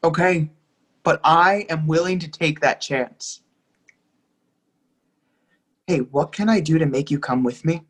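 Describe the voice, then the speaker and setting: A woman's voice: deep voice, measured pace, long pauses, slightly rough. Woman acting a heartbroken, pleading young lover. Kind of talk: readers theatre play reading.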